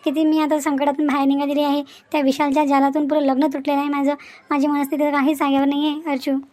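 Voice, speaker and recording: A woman voicing an anxious young woman in an animated family drama, confiding and plaintive. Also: high voice, fast talker, close to an everyday voice. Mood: troubled.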